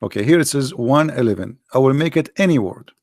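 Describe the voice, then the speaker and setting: A man's voice: medium pitch, animated, quick pace, a little mellow, dry recording, clean studio sound. A man whose first language is Arabic, hosting a live unscripted debate in an insistent, challenging manner, often repeating himself. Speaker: host debating a guest.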